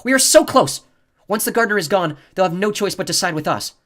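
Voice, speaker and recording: A man voicing a scheming, impatient woman who gives orders in a loud, agitated way. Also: high-pitched, lively intonation, fast talker, pitched above the performer's own voice.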